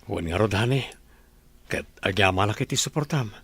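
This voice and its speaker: An actor with an Ilocano accent playing a calm, generous rich businessman. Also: on the low side, normal speed, gravelly, breathy edge, no room sound, exaggerated voice. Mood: gentle.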